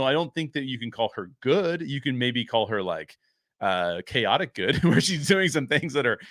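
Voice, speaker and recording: A man's voice: mid-range voice, fairly bright tone, dry recording. A man with a mid-range voice talks as the host of a commentary show. He reasons through his opinions conversationally, thinking aloud and qualifying points as he goes. The sound is dry, with very little room on it.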